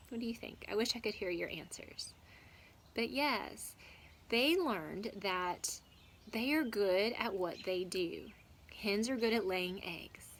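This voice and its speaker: Instructive woman reading to children, faint noise. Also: mid-range voice. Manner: instructive, question-and-answer, checking understanding. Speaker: teacher reading aloud. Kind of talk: children's read-aloud and discussion.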